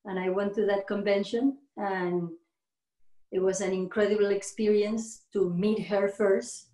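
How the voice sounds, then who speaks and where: A middle-aged woman from Argentina with an Argentine Spanish accent. She is a yoga teacher being interviewed, speaking halting second-language English with many restarts and frequent laughter. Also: medium pitch, steady tone, mellow. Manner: laughs often. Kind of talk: casual interview conversation. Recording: roomy echo.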